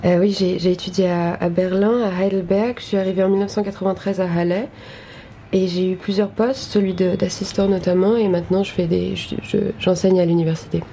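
A woman interprets on the fly for a radio talk show, stopping and restarting as she searches for words. Her voice is mellow and warm, with a faint hiss of background noise. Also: on the low side, fairly even intonation, brisk. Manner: hesitant, frequent fillers and restarts.

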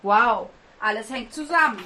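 A woman's voice: on the high side, moderate pace, pushed and bright, poor audio. A woman hosting a casual chat, hesitant and asking short questions. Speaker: host.

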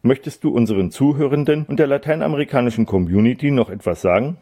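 A man presenting a radio magazine and putting interview questions in a measured, articulate, scripted style. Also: mid-range voice, mellow.

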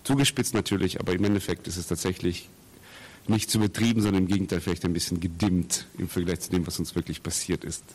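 Reflective low-voiced man. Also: fairly even intonation, fast, darkish tone. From Russia, raised in Austria. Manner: reflective, searching for words. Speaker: actor and theatre maker. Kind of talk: explanatory interview answers.

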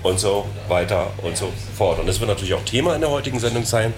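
A man's voice: lowish voice, animated, fast talker, over music. A middle-aged man hosting a casual, chatty interview show. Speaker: show host. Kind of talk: casual bar interview and chat. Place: inside a bar. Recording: loud clattering bar noise.

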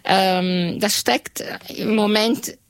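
A woman's voice: medium pitch, steady tone, dry recording. Earnest woman, dry sound. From Italy. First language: Italian. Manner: earnest, reflective, pauses often to search for words. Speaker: radio journalist and guest. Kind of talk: interview answers.

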